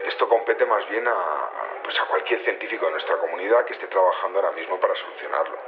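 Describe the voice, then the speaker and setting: Male security chief, low voice, thin phone audio, hesitant, colloquial, unbothered, radio drama. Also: quick pace, crowd noise. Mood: offhand, unbothered. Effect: telephone filter. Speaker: head of security. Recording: thin, narrow phone line.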